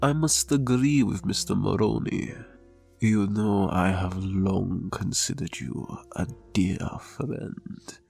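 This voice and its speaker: An actor as an ailing, deferential mobster in an audio drama. His low voice is extremely creaky and very slow, every phrase courteous, formal and careful. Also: steady tone, a little mellow, soft background music, heavily acted. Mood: earnest.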